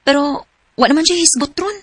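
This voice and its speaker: A woman's voice: high-pitched, fairly quick, no echo. An actress playing Trisha, a young woman who learns her boyfriend is married, in a radio drama. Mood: uneasy. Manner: soft and hesitant, then anguished and shouting.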